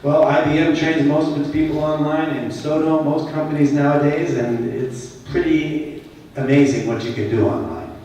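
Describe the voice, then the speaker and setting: A man's voice: fairly high pitch, steady tone, normal speed, darkish tone, far from the mic, slight background noise. Boston man speaking in an echoey hall. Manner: conversational, emphatic, asks the audience questions. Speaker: conference keynote speaker. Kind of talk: conference keynote speech. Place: large conference hall.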